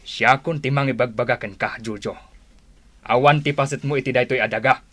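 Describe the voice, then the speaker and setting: An actor with an Ilocano accent playing a greedy, scheming older brother in a radio drama, mocking and laughing. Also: male voice, mid-range voice, steady tone, a little fast, a bit bright, natural voice. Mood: scornful. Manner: mocking, frequent sneering laughs.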